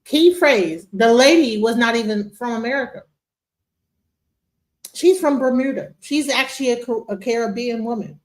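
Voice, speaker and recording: A woman's voice: a little high, lively intonation, moderate pace, reverberant. A woman giving opinionated commentary in a video clip, full of incredulous rhetorical questions.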